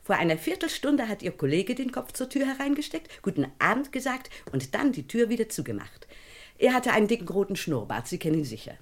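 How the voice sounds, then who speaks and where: Elderly female crime writer: high, very animated voice, scatterbrained, brisk, unflappable, comic radio play. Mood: breezy, insistent. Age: older. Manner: chatty, brisk, breezily unconcerned. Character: tiny, friendly, scatterbrained elderly lady crime novelist, unflappable.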